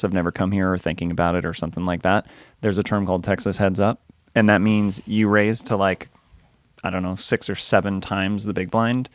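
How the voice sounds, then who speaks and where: A young man with a fairly low voice talks in a relaxed, explanatory way, with a wry edge. The sound is dry and close to the mic. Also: dry recording. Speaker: podcast co-host, poker dealer. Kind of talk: casual chat.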